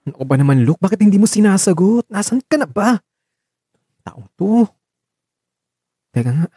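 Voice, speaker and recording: A man's voice: sits high, very animated, moderate pace, dark tone, breathy edge, no echo, clean studio sound, voice pushed up, heavily acted. A man playing a caring, nagging man who frets and gets exasperated in an audio drama. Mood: worried. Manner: exasperated, insistent, emotional. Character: Marco, caring young man, nagging and fretful.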